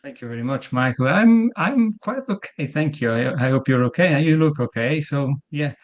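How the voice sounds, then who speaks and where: A man's voice: fairly high pitch, lively intonation, moderate pace, dull audio. A man from Italy with a thick Italian accent, a guest in a casual interview, often stumbling and repeating words as he starts his sentences. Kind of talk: casual video-call interview.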